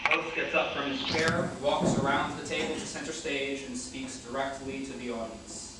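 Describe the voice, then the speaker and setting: A man's voice: medium pitch, little pitch movement, moderate pace. A man narrating stage directions from a script at a staged reading. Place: hall, recorded from a distance. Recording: very echoey hall, far mic, loud noise.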